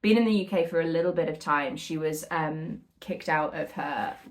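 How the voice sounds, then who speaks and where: A woman chatting casually as a book vlogger, informal and a little rambling, often pausing and correcting herself as she goes. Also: low-pitched. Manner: informal, hesitant, self-correcting, rambling storytelling. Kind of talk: casual chatty book vlog, reviewing reading.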